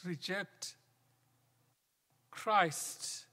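A middle-aged male minister preaching a sermon from the pulpit, in a measured style that sometimes drops to a near whisper for emphasis. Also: sits high, bright, forceful tone. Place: large wooden church sanctuary, at the pulpit. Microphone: pulpit microphone. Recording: long church reverb.

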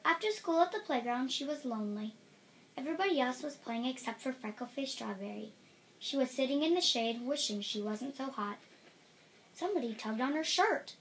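High-pitched, animated woman reading a storybook. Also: moderate pace, slight background noise, low-quality recording. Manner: animated, acting out dialogue and emphatic words. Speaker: storybook reader. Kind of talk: expressive children's storybook read-aloud.

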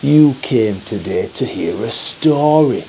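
A man's voice: mid-range voice, expressive, takes it slowly, dark tone, slight background noise. A Yorkshire man with a Yorkshire accent, hosting his channel and telling history as a theatrical, chatty storyteller who addresses viewers directly. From Yorkshire, England. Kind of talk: costumed dramatic history storytelling. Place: indoor studio in front of a backdrop screen.

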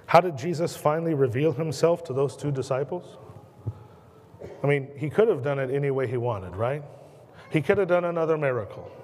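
A man in his thirties with an American accent, preaching a sermon from the pulpit in earnest, slowing and dropping his voice on key words. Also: a little mellow, slight background noise. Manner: earnest preaching, slows and drops low on key words, gestures a lot. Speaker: pastor. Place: church sanctuary at a pulpit. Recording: long church reverb.

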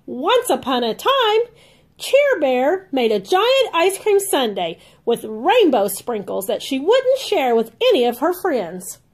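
High, slow, very expressive woman storyteller. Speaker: read-along storybook host.

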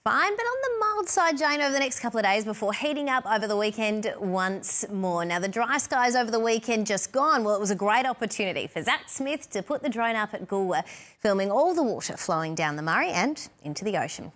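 Lively Australian woman presenting the weather. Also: on the high side, a little fast. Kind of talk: scripted TV weather forecast. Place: TV news studio.

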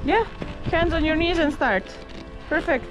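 A woman ski instructor calmly coaching a beginner outdoors on a ski slope, giving short encouraging instructions. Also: high voice, measured pace, music in the background. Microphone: action camera worn by the learner.

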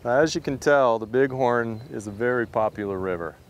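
A man's voice: mid-range voice, brisk, slight background noise. A middle-aged man chatting casually and enthusiastically while fishing from a drift boat outdoors. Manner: enthusiastic, exclaiming. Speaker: fishing guide and host. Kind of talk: casual on-camera chat.

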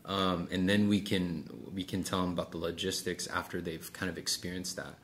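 A man's voice: low-pitched, little pitch movement, a little fast, a bit bright. A middle-aged man coaching one-on-one in a casual, explanatory way, acting out sample sales dialogue and checking in often. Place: small office meeting room, indoors. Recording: clearly noisy room sound.

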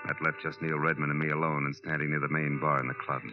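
Deep voiced hard-boiled american private eye, weary n wry, old radio drama, muffled w music behind. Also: male voice, level tone, quick pace. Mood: weary, reflective. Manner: hard-boiled, clipped, colloquial. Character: world-weary tough male private detective, wry and reflective. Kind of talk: 1940s radio acting, first-person noir narration.

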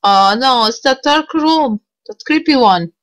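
A woman's voice: sits high, animated, measured pace. A woman giving casual, unscripted game commentary, reacting in short broken phrases. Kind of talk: casual game commentary with bits of on-screen text read out.

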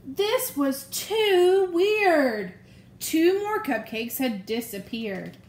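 A woman with a high voice reads a picture book to young children. Her delivery is slow and deliberate, with expressive storytelling. The recording is roomy, like a phone in a room at home. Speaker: elementary school staff member reading aloud. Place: small room at home, indoors.

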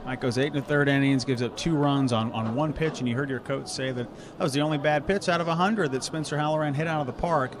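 A young man interviewing a player outdoors in the stands of a ballpark, with a polished, upbeat delivery that moves quickly through stats. He holds a handheld mic. Crowd sounds carry clearly behind him. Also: mid-range voice. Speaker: sports interviewer. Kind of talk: post-game broadcast interview.

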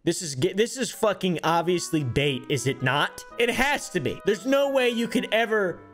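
A man's voice: sits high, sing-song, normal speed, fairly bright tone, faint music behind. A young man from the American South streaming from his home setup, giving rambling, sarcastic commentary on social media posts and swearing often. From Southern United States. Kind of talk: casual reaction commentary. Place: home streaming room with colored lights. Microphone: studio mic on arm, headphones.

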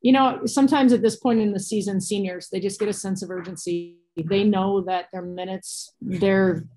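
A woman's voice: mid-range voice, fairly even intonation, moderate pace, a little mellow, low-quality recording. A woman in her thirties with an American accent, a coach giving matter-of-fact, colloquial answers at a postgame press conference over a video call. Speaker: basketball coach. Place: small cinder-block room indoors. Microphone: laptop or phone on a video call.

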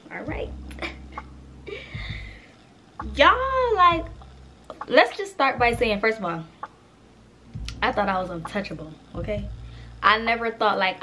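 A woman, chatting casually to camera as a vlogger, animated and talking straight to viewers, a little stuffy from a cold. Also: on the high side, a little hiss or hum. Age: 20s. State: congested with a cold.

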